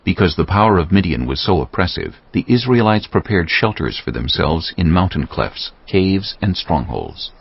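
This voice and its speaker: A man with an extremely deep, creaky voice narrates scripture as a measured storyteller. His intonation is lively and varied, rising and falling through each sentence. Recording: dull lo-fi audio, faint music. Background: music.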